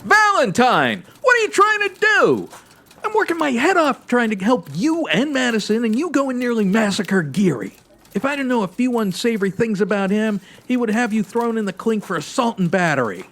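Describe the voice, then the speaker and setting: A man's voice: very high voice, sing-song, normal speed, fairly bright tone, exaggerated voice. A man playing an exasperated, by-the-book police lieutenant, scolding emphatically in a radio noir comedy. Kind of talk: old-time radio comedy acting.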